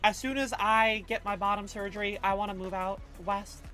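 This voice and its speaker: A woman's voice: medium pitch, steady tone, moderate pace, bright, forceful tone. A woman livestreamer chatting casually with her viewers, reading chat aloud in a loose, rambling, slangy way. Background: faint background music.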